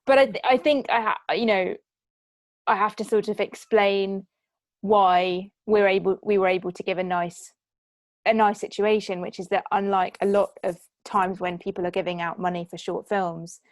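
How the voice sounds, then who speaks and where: A woman's voice: medium pitch, fairly even intonation, moderate pace, dry recording. Woman hosting a panel, dry close sound. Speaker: panel host and producer. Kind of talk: moderated Q&A discussion.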